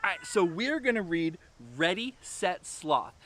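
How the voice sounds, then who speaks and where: A man's voice: high-pitched, big pitch swings, measured pace, loud music behind the voice. A man presenting a children's story session in a casual, conversational way. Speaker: presenter at an animal sanctuary. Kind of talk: casual introduction to a story reading.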